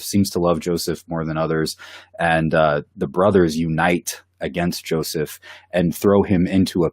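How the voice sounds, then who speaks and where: A man's voice: sits low, darkish tone, no room sound, studio quality. A man with a cold, co-hosting a Bible study in casual, enthusiastic chat. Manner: enthusiastic, with filler words and laughs. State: has a cold.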